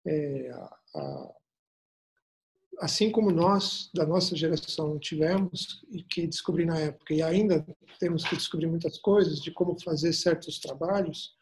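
A man's voice: high voice, darkish tone. A middle-aged man with a Brazilian accent chatting in an interview, reflective and fond of rhetorical questions. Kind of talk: casual interview conversation.

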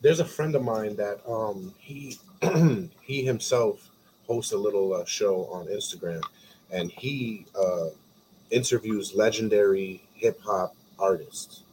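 A man's voice: lowish voice, slow pace, darkish tone, slight background noise, poor audio. A man hosting an interview, speaking in an encouraging, reflective way. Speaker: interview host. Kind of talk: casual interview.

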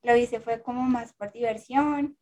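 A young woman from Colombia in her early twenties, chatting as a podcast guest. Her voice sits slightly high, and she talks in a playful, teasing, jokey way. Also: fairly even intonation, unhurried, a little mellow. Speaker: interview guest, content creator. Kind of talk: casual podcast chat.

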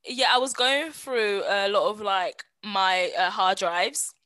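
A woman, co-hosting a podcast in casual, slangy chat full of animated storytelling and quoted asides. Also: mid-range voice, slow pace, no room sound. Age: 20s. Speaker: podcast co-host.

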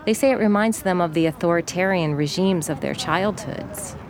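A woman reporter with a lower voice narrates a radio feature and puts measured, probing questions to people. She is interviewing them outdoors, and faint traffic hum sits under her voice. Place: outdoors by a flooded park, traffic nearby.

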